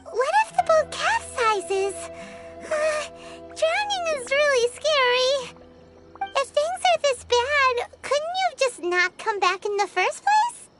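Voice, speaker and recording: A woman voicing a tiny, childlike, curious game companion with a squeaky voice and a naive, blunt manner. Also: big pitch swings, takes it slowly, over music, full character voice. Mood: worried. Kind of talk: English video game voice acting.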